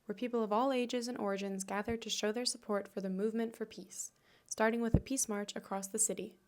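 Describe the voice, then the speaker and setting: A woman presenting a festival report and asking interview questions in a clear, measured way. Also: medium pitch, steady tone. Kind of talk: scripted intro and interview questions. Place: outdoor festival square.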